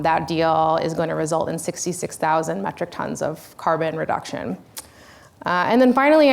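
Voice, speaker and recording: Woman panelist, deep and creaky, hesitant delivery. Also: a little mellow. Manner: hesitant, with many fillers. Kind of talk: panel presentation.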